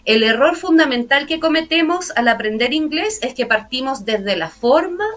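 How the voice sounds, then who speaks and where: A woman's voice: sits high, animated, moderate pace, a bit bright. A woman English teacher with a Chilean accent, explaining language learning in an earnest, step-by-step way during a studio interview. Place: broadcast studio.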